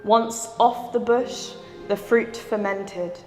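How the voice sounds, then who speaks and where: A woman recites poetry at an extremely slow, deliberate pace. Each phrase is set apart and hangs in a reverberant, echoing hall. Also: mid-range voice, fairly even intonation, a little mellow, faint music behind. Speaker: poetry reader. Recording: echoey hall with long reverb.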